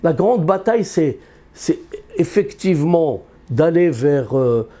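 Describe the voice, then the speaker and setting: A man giving an unscripted interview as an economist, earnest and halting, with many fillers and restarts. He grew up in Algeria and has Berber roots. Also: fairly high pitch, animated, dark tone.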